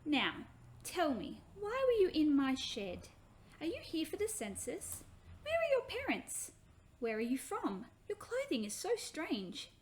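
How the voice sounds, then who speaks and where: A woman voicing a gentle, earnest young mother-to-be from ancient times in a children's story, kindly and questioning. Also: high voice, wildly swooping pitch, unhurried, a little hiss or hum, pitched above the performer's own voice, full character voice.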